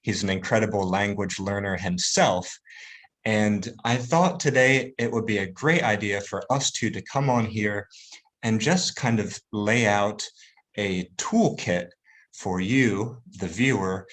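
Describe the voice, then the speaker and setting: A man's voice: lowish voice, unhurried, fairly bright tone. Enthusiastic male interview host. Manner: enthusiastic, welcoming. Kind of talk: podcast-style interview introduction.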